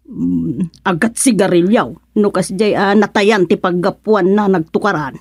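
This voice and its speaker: Suspicious troubled wife, Ilocano accent, mid-range pitch, dry studio sound, radio serial. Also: female voice, a little slow, darkish tone, slightly rough, no echo. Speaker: radio drama actress. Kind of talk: Ilocano radio comedy-drama acting.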